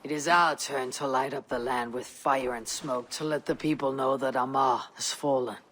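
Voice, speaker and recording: A man playing a seasoned, pragmatic Viking raider in a video game, measured and resolute. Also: medium pitch, steady tone, fairly bright tone, slight background noise, lightly acted. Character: seasoned male Viking raider, steady, pragmatic war counsellor. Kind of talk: video game cutscene acting.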